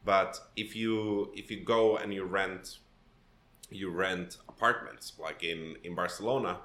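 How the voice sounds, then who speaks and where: Casting: Finnish-raised man in his thirties, very low voice, thoughtful guest talk, roomy echo. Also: steady tone, unhurried, bright, forceful tone. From Finland. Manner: thoughtful, with frequent restarts and fillers. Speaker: podcast guest. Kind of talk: conversational interview.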